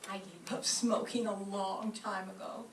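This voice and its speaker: A woman's voice: medium pitch, steady tone, unhurried, natural voice. A woman playing a folksy, easily puzzled landlady in an old-time radio comedy. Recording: noisy echoey stage, distant mic.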